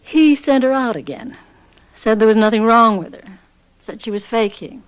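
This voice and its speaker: An actress playing a young, resigned farm wife in a radio drama, wary and pleading, with a hesitant, placating manner. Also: female voice, fairly high pitch, expressive, normal speed, close to an everyday voice, rough recording.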